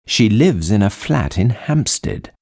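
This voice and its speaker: A man reading lines of English course dialogues in an expressive, sing-song way. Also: fairly low pitch, a little slow. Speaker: dialogue reader in a language course. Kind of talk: slow, clearly read textbook dialogue.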